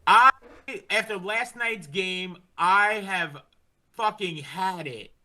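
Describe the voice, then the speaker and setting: A man's voice: high voice, takes it slowly, pushed and bright. A man hosting a casual sports podcast, ranting in an exasperated way and swearing heavily. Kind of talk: casual hockey fan chat.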